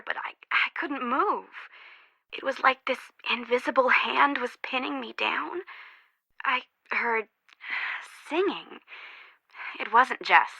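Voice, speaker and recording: A woman playing an excitable, easily scared young best friend in a video game, with casual, dramatic, naturalistic delivery. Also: a little high, vocal fry, dry recording, heavily acted, rough recording. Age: young adult. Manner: casual, expressive, sighing, dramatic.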